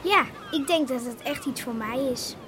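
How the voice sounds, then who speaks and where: An actress playing an eager, hopeful young woman in expressive, natural Dutch radio drama dialogue. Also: sits high, moderate pace, noisy background, lightly acted. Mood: hesitant, hopeful.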